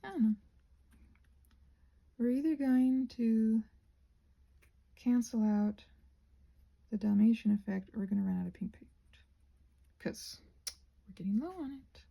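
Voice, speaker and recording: A soft-spoken woman with a breathy voice that often nears a whisper, rambling casually and self-deprecatingly to herself as she crafts. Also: a little high, measured pace, long pauses, poor audio. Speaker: craft and ASMR video creator.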